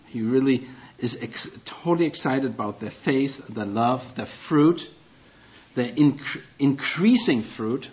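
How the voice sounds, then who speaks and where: A man's voice: medium pitch, highs cut off. A middle-aged man with a German accent preaching a sermon as a guest, earnest and self-deprecating, with non-native phrasing.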